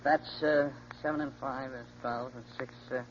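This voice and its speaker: A man's voice: mid-range voice, fairly even intonation, moderate pace, lightly acted. An actor playing a blunt, tough-talking man in a 1940s radio melodrama. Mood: matter-of-fact. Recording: muffled old radio, faint noise.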